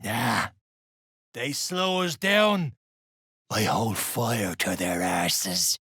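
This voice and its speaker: An actor playing a foul-mouthed, surly duergar dwarf fighter in a video game, with a rough, husky voice and coarse slang. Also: male voice, on the low side, lively intonation, drawn-out words, sharp tone, dry recording, studio quality, heavily acted. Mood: gruff, surly. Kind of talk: video game character lines.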